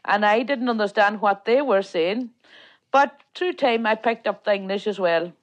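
A woman's voice: mid-range voice, normal speed. An older woman with a Donegal Irish accent, whose first language is Irish, telling stories of her childhood as an interviewee. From Tory Island, Ireland. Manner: plain storytelling, long chains of "and". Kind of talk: oral history reminiscence.

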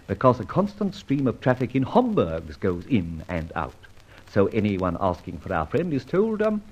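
An actor playing a suave, flippant gentleman adventurer in an old-time radio serial, with breezy old-fashioned slang. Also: on the low side, slightly rough, full character voice. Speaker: narrator and lead actor. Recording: muffled vintage radio recording, faint noise.

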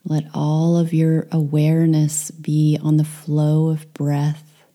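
Woman, meditation guide, very slow, low flat voice, dark mellow tone, soft half-whispered delivery.

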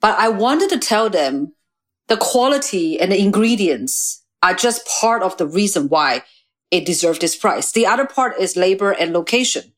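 A woman's voice: medium pitch, lively intonation, normal speed. A woman from Singapore, Hong Kong and mainland China, a chef talking casually as a podcast guest. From grew up in Singapore, Hong Kong and mainland China. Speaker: guest chef and restaurant owner.

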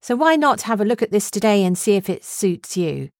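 Woman chatting as a podcast host, normal mid pitch. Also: very animated, fairly quick. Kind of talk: conversational podcast interview and promo.

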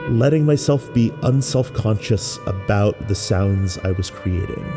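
Male, lowish voice, thoughtful and measured musician explaining his process, music bed behind him. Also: expressive. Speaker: musician and composer. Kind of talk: explanatory talk about making experimental music.

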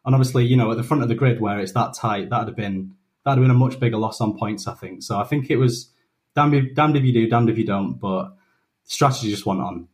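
A man's voice: lowish voice, fast, darkish tone. Hesitant man giving opinions. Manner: thoughtful, hesitant, restarts often. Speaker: podcast guest. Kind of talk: casual chat.

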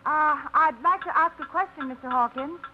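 A shy young woman who hesitates and trips over her own sentences, as an actress plays her. Her voice is high. The sound is dull and muffled, like an old radio broadcast. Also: moderate pace, slight background noise, close to an everyday voice. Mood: timid. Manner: hesitant, flustered, stumbling. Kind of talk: 1950s radio drama acting.

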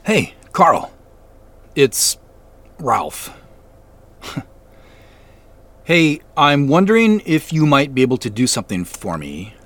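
An actor playing a remorseful, self-doubting man in an audio drama, muttering to himself in a halting, husky voice with sighs. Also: medium pitch, very animated, a bit bright, heavily acted. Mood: hesitant, uneasy. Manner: halting, sighing, self-reproachful. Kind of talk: naturalistic audio drama acting.

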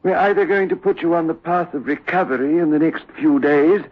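A man playing a firm, probing sanatorium doctor in a 1960s radio drama, speaking with deliberate authority. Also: on the high side, natural voice. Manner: stern, deliberate, commanding. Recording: muffled, lo-fi old radio recording.

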